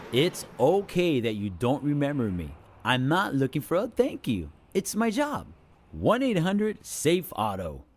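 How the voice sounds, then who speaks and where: A man's voice: high-pitched, noisy background, pitched above the performer's own voice, full character voice. A man voicing an eager, overlooked insurance mascot in a comic commercial, with a plaintive, emphatic delivery. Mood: wounded, selfless. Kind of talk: comic commercial character acting.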